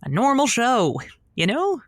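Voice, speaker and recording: Animated woman radio host. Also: fairly high pitch, unhurried, no echo, studio quality, full character voice. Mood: awkward. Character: playful, flustered woman radio call-in show host. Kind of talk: audio comedy drama dialogue.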